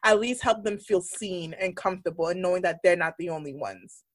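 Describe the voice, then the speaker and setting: A woman's voice: lowish voice, dry recording. Young woman podcast co-host in her twenties, candid and chatty, dry close sound. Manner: open, candid, talks in quick run-on bursts. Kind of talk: casual chat.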